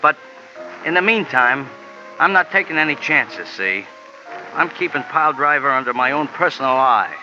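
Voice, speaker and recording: An actor playing an anxious, stubborn, streetwise little ex-jockey in a 1940s radio drama. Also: male voice, mid-range voice, lively intonation, moderate pace, natural voice. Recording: muffled old radio recording, music behind.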